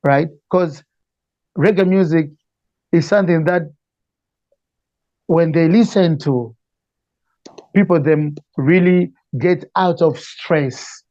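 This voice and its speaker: A man's voice: on the high side, takes it slowly, pauses often, a little mellow, no room sound, highs cut off. A man from Malawi with a Malawian accent, answering conversationally as a musician being interviewed. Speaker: interview guest, reggae singer.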